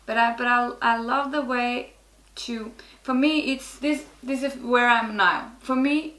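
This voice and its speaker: A woman's voice: fairly high pitch, fairly even intonation, measured pace, bright, forceful tone, roomy sound. A middle-aged woman from Norway with a Norwegian accent, speaking English as a guest in a casual interview, frank and often restarting her sentences. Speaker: guest, network marketer.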